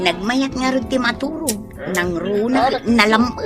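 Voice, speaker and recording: A woman's voice: on the high side, a little slow, loud music behind the voice, close to an everyday voice. A woman with a Filipino accent playing a teasing, giggly young friend in a radio drama. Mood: giddy teasing. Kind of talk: Ilocano radio drama acting.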